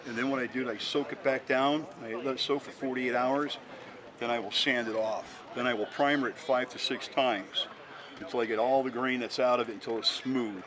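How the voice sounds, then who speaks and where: A man's voice: mid-range voice, fairly even intonation, moderate pace. A man being interviewed as a hobbyist restorer, explaining technical work step by step in a casual, matter-of-fact way outdoors. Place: outdoors at a busy swap meet. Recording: on-location recording amid loud crowd.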